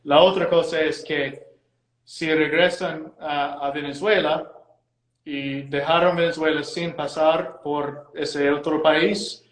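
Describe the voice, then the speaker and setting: A man's voice: fairly high pitch, steady tone, slow, echoey room, low-quality recording. A man with an American accent and English as his first language, a lawyer explaining immigration law as a guest in a live interview, hesitant and repeating words as he phrases things carefully.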